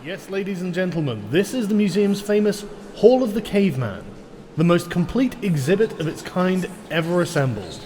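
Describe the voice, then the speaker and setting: A man's voice: high voice, big pitch swings, normal speed, dark tone. A man playing a proud, formal museum tour guide in an old-time radio drama, with a declamatory delivery. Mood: proud, instructive. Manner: declamatory, presentational. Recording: long echoing hall, faint noise.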